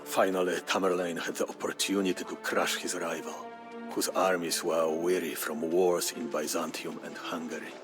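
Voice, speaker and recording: A man narrating a historical tale as scripted storytelling, in a grave, measured, theatrical manner. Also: sits low, flat delivery. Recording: music behind the voice. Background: music.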